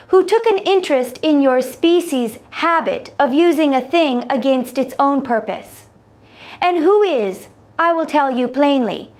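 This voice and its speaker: Woman playing a very slow, high-voiced alien trader. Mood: careful, deliberate. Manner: slow, formal, careful phrasing. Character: calm, exacting alien Sool trader, measured and deliberate. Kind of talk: science fiction audio story acting.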